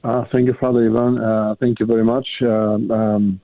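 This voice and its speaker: A priest from Romania, calling in to a radio show. He speaks in a low voice and stops often to search for words. The sound is dry and thin, coming through a tinny phone line. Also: flat delivery, no room sound. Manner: halting, many hesitations. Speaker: caller, priest.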